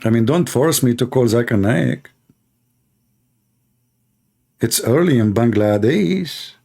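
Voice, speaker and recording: A man's voice: medium pitch, expressive, takes it slowly, creaky, dark tone. A man hosting a livestream debate, talking in a sarcastic, mocking, rambling way with lots of fillers.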